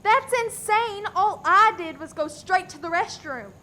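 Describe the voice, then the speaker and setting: A gleeful, flippant young-sounding suspect played by a woman in a comedic radio play. The voice is piercingly high, swings widely in pitch and takes its time. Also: animated, unhurried, pushed and bright, heavily acted. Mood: indignant. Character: flippant, giggly female suspect with gleeful streak.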